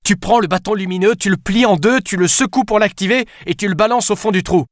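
A man's voice: high-pitched, a little fast, no room sound, studio quality, pitched above the performer's own voice, close to an everyday voice. A man reading aloud, voicing an urgent, commanding young female police officer. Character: tense young female French cop.

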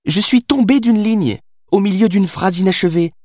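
Guy doing a mouthy young woman for an audiobook, lighter higher voice, sassy, pushy, slangy. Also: normal speed, a little mellow, dry recording, clean studio sound. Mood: insistent, explanatory. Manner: cheeky, insistent, colloquial. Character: brash, sassy, foul-mouthed young woman fallen from a novel. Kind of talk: audiobook character dialogue.

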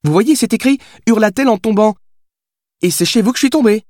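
A man's voice: high voice, brisk, a little mellow, no room sound, studio quality, pitched above the performer's own voice. A man voicing a brash, sassy, foul-mouthed young woman in an audiobook, with a cheeky, insistent way of talking. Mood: insistent, explanatory. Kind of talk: audiobook character dialogue.

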